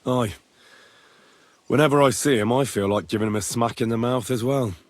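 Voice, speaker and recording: An actor playing a loyal young blacksmith turned page in a medieval video game, earnest and plain-spoken. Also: male voice, mid-range voice, normal speed, dry recording, close to an everyday voice. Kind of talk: video game cutscene acting.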